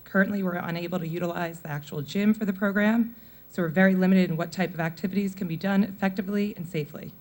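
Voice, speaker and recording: Mother reading a brisk public comment. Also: lowish voice, fairly even intonation, a little mellow. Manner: brisk, reading from notes with list-like delivery. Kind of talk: prepared public comment speech.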